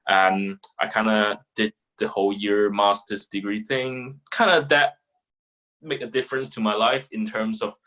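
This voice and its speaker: A man in his twenties speaks as a guest on an interview podcast. He has a Hong Kong accent and a voice a little lower than average. His delivery is casual and informal, often circling back over his phrases. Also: fairly even intonation, unhurried, a bit bright. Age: 20s. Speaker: podcast guest, young lawyer.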